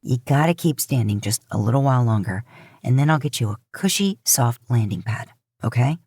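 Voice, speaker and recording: A woman voicing a protective adult man in an audiobook story, low, coaxing and casually wry as he soothes his brother. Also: lively intonation, fairly quick, a little mellow, slightly rough, dry recording, clean studio sound, exaggerated voice. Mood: gentle, coaxing.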